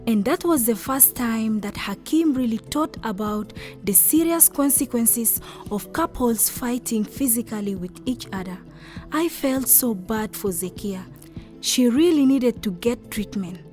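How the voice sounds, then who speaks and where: A woman's voice: on the high side, lively intonation, unhurried, a little mellow, faint music behind. A woman playing a thoughtful, unsure young wife in a radio drama, telling her story in a reflective, sincere way. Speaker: actor narrating and playing the main character. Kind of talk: first-person radio drama narration and dialogue.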